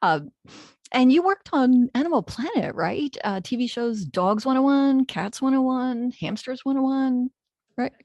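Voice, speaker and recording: A woman's voice: sits high, animated, a little slow, a little mellow, no echo, dull audio. A middle-aged woman hosting a podcast, chatting casually and laughing easily. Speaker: podcast host. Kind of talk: casual interview chat. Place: indoor room. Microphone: studio mic.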